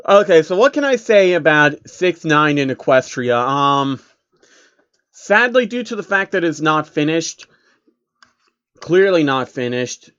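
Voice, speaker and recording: A young man reads a fanfic aloud, casual and profane, stopping to correct his own stumbles. His voice sits a little high, and the home recording sounds dull and lo-fi. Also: very animated, measured pace, a bit bright, smooth. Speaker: narrator reading fan fiction. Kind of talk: comedic story reading with asides. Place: home room.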